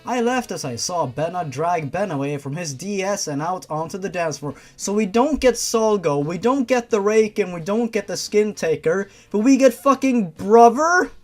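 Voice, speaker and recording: Sarcastic, animated fan-fiction reader. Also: mid-range voice, moderate pace, few pauses, faint music behind. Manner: sarcastic, exasperated, sweary asides. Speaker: host reading fan fiction. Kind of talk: story reading with mocking commentary.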